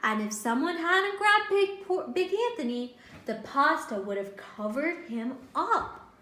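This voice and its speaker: Lady teacher reading a kids story, high voice, echoey room, stops to ask stuff. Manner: expressive, pausing to question and explain to children. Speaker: teacher reading a picture book aloud. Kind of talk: animated storybook read-aloud to young children. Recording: roomy, echoing indoor sound.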